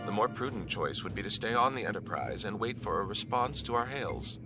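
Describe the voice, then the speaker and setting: An actor playing a logical, formal alien first officer in a sci-fi game, speaking in a measured, precise way. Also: male voice, lowish voice, expressive, over music. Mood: stern, formal. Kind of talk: cinematic sci-fi game acting.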